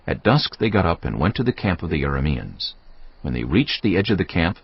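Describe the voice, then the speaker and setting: Very deep, gravelly male narrator. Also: big pitch swings, a little fast. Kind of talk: scripted dramatised Bible narration. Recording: dull, muffled audio over music.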